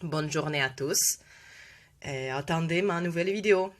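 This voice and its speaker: A woman's voice: sits low, moderate pace. A woman in her twenties, a native Russian speaker, talks hesitantly with many fillers while she has a head cold. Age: 20s. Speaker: vlogger. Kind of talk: casual video talk.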